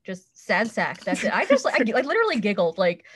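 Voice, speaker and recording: A woman's voice: medium pitch, huge pitch swings, brisk, breathy edge. A woman on a podcast panel chatting casually in a candid, playful, self-deprecating way.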